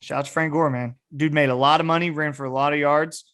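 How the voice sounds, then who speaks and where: A man's voice: on the high side, steady tone, quick pace, fairly bright tone, clean tone. A man hosting a sports podcast, giving casual, enthusiastic commentary like a keen fan.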